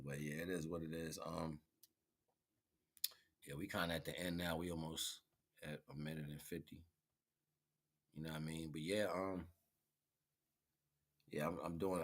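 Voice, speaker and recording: A man's voice: extremely low pitch, fairly bright tone. A man chatting casually as a livestream host, rambling in slang and repeating catchphrases.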